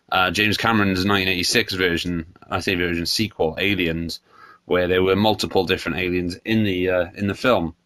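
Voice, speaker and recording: A man's voice: sits low, bright, forceful tone, no echo. A man hosting a solo podcast, congested from a cold, rambling and opinionated. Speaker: podcast host. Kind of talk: solo casual podcast chat.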